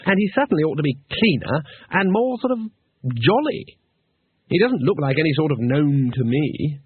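A naive, fussy tourist, lifted high and prim, as a male reader puts him on. He talks with earnest insistence through a husky voice. The sound is narrow and phone-like, dry with no room. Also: big pitch swings, normal speed, no echo. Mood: insistent.

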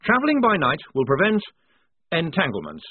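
A man's voice: fairly high pitch, huge pitch swings, normal speed, breathy edge, no room sound, pitched above the performer's own voice, full character voice. A man doing a flustered, stammering army lieutenant. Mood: hesitant. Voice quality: stammering, hesitant. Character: flustered, fussy, short-sighted young army lieutenant. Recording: dry tinny phone-like sound.

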